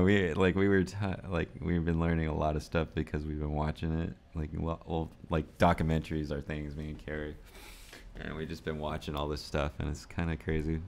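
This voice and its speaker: Forties male vlogger, very deep gravelly voice, loose rambling delivery with frequent laughs. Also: flat delivery, moderate pace, a little mellow. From United States. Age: 40s. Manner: rambling, musing, laughs often. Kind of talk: casual unscripted vlog talk.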